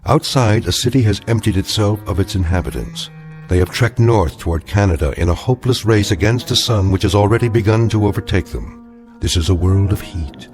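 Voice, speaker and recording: An actor as the grave narrator of an eerie radio drama, measured and solemn in his delivery. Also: sits low. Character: grave omniscient narrator of eerie doomsday tales.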